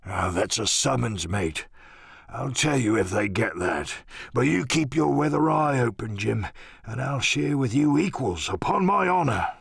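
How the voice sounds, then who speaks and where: Gravelly, cantankerous old captain. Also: male voice, mid-range voice, animated, measured pace, a bit bright, no room sound, studio quality, full character voice. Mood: confiding. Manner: rambling, salty seafaring talk, cursing. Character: sick, rum-craving old pirate sea captain, cantankerous and pleading. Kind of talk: audiobook radio-play character acting.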